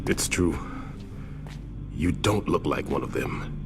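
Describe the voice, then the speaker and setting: Imprisoned agency boss for a game: impatient, wary, very low and animated, music behind. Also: male voice, slow pace, vocal fry, full character voice. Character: suspicious, impatient captive agency chief, male. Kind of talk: video game cutscene voice acting.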